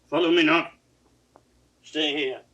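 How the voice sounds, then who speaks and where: Proud aging king, forceful bright voice, slow declamation, imperious, classical stage drama, distant 1960s recording. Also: a little high, fairly even intonation. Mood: anguished. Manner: declamatory, imperious, abrupt swings from muttering to roaring. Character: proud, aging king, wounded father, volatile and wrathful. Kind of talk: classical Shakespearean stage acting.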